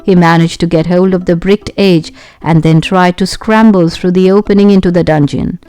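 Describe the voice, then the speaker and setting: A woman reading a children's adventure story aloud in an expressive storytelling style. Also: fairly low pitch, moderate pace, clean tone. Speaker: audiobook narrator.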